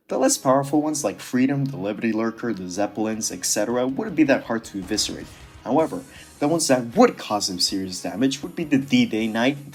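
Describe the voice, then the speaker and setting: Sardonic man with a slightly rough voice. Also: medium pitch. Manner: sardonic, dismissive, casually profane. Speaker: video creator narrating a commentary. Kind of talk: casual scripted video essay commentary.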